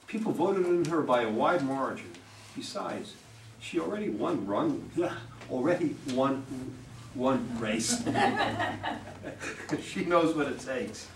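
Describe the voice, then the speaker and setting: A man's voice: medium pitch, expressive, slow. A man playing a brash, cynical, pushy campaign manager in an amateur play reading, blunt and wisecracking. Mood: persuasive. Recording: distant, echoey room, faint noise.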